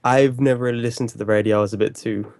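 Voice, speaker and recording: A man's voice: mid-range voice, steady tone, very fast, darkish tone, dry recording, studio quality. A man chatting casually in a jokey, self-deprecating way. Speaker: livestream guest and voice performer. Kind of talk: casual chat.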